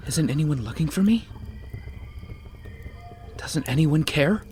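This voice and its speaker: An actor playing a starving, guilt-wracked young castaway in an audio drama, emotional and self-pitying. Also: male voice, fairly high pitch, animated, takes it slowly, a little mellow, a little hiss or hum. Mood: frustrated, despairing.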